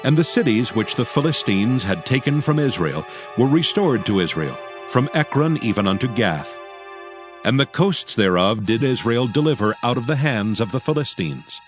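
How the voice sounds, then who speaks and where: A man narrating scripture from a script in a solemn, measured, formal manner. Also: mid-range voice, very animated. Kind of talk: scripted dramatized Bible narration. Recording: faint music behind the voice. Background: faint background music.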